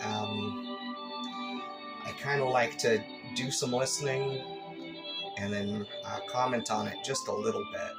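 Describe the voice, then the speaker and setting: A man's voice: on the low side, steady tone, measured pace, pauses often. A man hosting a shortwave listening channel, chatting in an easygoing, hesitant, casual way. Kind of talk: casual chat commentary. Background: music from a shortwave radio.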